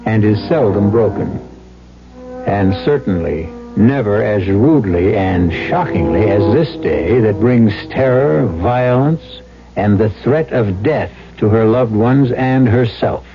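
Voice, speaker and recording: Slow, deliberate male mystery narrator. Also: lowish voice, animated. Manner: measured, deliberate, dramatic. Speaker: host and narrator. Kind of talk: 1970s radio mystery narration. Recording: dull lo-fi radio recording. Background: music.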